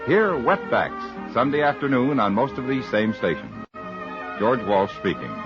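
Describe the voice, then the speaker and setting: A man's voice: medium pitch, sing-song, a little slow. An American man announcing in a scripted 1950s radio style. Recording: muffled old radio broadcast. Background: music.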